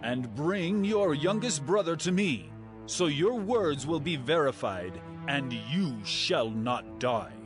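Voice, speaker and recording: An actor playing a stern, accusing governor of Egypt in a dramatized scripture recording, commanding and deliberate. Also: male voice, fairly high pitch, lively intonation. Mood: firm, commanding. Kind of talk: dramatized scripture audio acting. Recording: music behind the voice.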